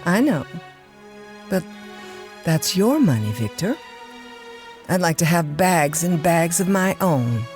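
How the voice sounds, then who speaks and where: An actress playing a restless, coy, money-hungry wife in an audio drama. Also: female voice, fairly low pitch, sing-song, takes it slowly, long pauses, darkish tone, faint music behind. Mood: wistful, wanting.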